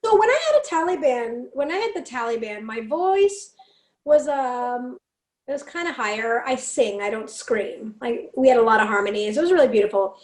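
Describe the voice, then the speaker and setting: Woman, from Canada, raspy, animated storyteller, high pitch, roomy echo. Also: moderate pace. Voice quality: raspy, deep speaking voice. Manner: animated, acts out quotes, laughs often. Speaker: podcast guest, singer and speaker. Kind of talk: casual storytelling chat.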